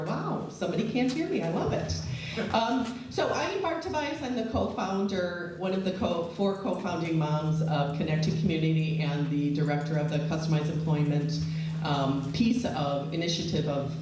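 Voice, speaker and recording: A middle-aged woman director presenting at a community event, chatty, with many fillers and restarts and a loud voice. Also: low voice. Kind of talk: conference presentation. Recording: echoey hall, far from the mic, faint noise.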